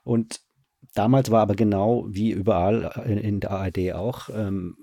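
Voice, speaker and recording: Gravelly low-voiced man answering interview questions. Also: fairly even intonation, normal speed, mellow, no room sound, studio quality. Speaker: radio drama director.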